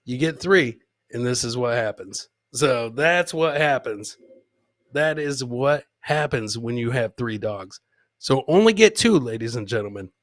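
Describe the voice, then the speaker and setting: A man's voice: mid-range voice, expressive, unhurried, a bit bright, dry recording, clean studio sound. A middle-aged man hosting a comedy podcast, chatting casually and swearing, repeating phrases for emphasis and playing to his audience like a showman. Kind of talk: casual live-stream comedy chat.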